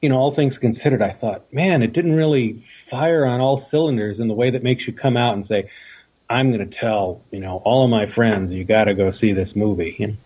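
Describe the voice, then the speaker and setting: A man giving a measured, conversational film review as a podcast panelist. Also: medium pitch, husky. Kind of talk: casual film review discussion. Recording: thin, phone-like call line.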